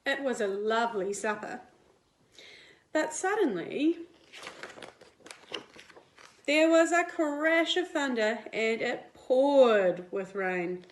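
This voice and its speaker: A woman, a teacher reading a picture book aloud to young children in an expressive, deliberate way. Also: fairly high pitch, a little hiss or hum. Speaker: primary school teacher. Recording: roomy indoor echo.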